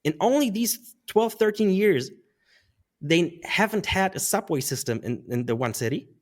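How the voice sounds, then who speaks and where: Guy in his 30s, talks kinda high, rambles and laughs a lot. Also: lively intonation, normal speed. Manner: rambling, restarts, laughs often. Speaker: guest. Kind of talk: casual interview conversation.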